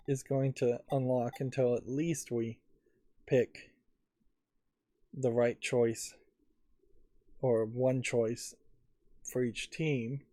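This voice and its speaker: A man giving musing game commentary, thinking aloud in a halting way. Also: medium pitch, warm tone, dry recording, clean studio sound. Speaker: let's play host. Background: faint game music.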